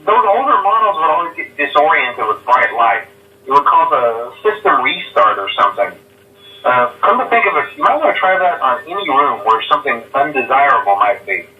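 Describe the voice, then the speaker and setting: A man's voice: fairly high pitch, slight background noise, natural voice. An actor playing a chatty, nervous male company phone messenger in a horror game, rambling with lots of "uh" fillers and awkward chuckles. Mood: upbeat nervous. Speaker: phone guy giving night guard instructions. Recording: tinny phone line, echoey and distant.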